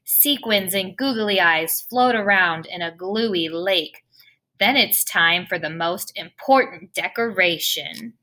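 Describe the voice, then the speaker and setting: A woman reading a children's picture book aloud, expressive and playful with the sound words. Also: lowish voice, slow pace, rough recording. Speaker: storybook reader.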